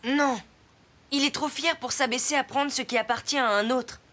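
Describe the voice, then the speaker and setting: A woman's voice: high-pitched, steady tone, moderate pace, a bit bright. A woman voicing a kind, thoughtful little boy in a French cartoon dub. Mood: reasoning.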